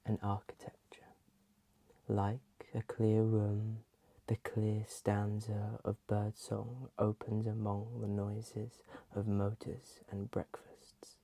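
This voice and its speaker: A soft, breathy ASMR host reading in a hushed voice that often slips into a whisper. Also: mid-range voice, completely flat delivery, takes it slowly, no room sound.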